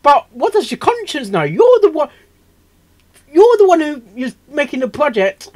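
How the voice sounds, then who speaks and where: A man hosting a reaction video, rambling casually and excitably, stammering and repeating himself as he goes. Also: very high voice, moderate pace. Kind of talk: casual off-the-cuff reaction commentary.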